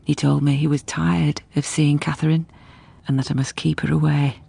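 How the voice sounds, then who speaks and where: An actress playing a level-headed housekeeper who narrates a gothic radio drama in a measured, grave, reflective way. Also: female voice, sits low, level tone, creaky, dark tone, husky, heavily acted.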